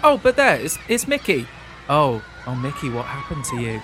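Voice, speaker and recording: A man's voice: a little high, normal speed, over music. A man with a British accent acting as a brash, crude, bumbling show host in a comedy radio play. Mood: excitable, indignant. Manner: excitable, blustering, sweary.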